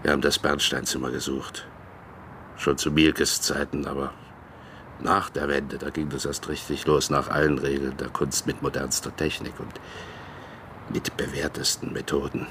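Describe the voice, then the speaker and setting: An older man acting in a radio drama as a gruff, wryly nostalgic East German dog handler who talks in unhurried, terse phrases. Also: very deep voice, flat delivery, noisy background.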